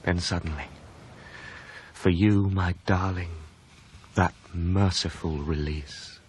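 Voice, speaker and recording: An actor playing a devoted, romantic new husband in a radio drama, earnest and tender with old-fashioned phrasing. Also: male voice, low-pitched, fairly even intonation, takes it slowly, darkish tone, slight background noise. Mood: sombre, haunted. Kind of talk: radio drama narration and dialogue.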